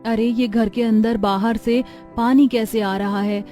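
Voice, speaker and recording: A woman plays a caring, resourceful young village mother in a cartoon. Her voice sits fairly high and is very soft-edged and mellow, with light music behind. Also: fairly even intonation, a little slow, natural voice. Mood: curious, practical.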